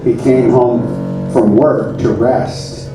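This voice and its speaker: Slow deadpan man in an echoey room. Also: medium pitch. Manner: deadpan mock-earnest. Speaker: puppet show performer and presenter. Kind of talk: comedy stage show, solemn story narration and audience address. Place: theater stage. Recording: echoey room, far from the mic, music.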